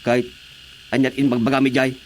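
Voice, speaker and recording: A man's voice: mid-range voice, monotone, a little fast, a little hiss or hum, lightly acted, rough recording. A Filipino man playing a smug, dismissive, chuckling village councilor husband in a comedic radio drama. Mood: smug, amused.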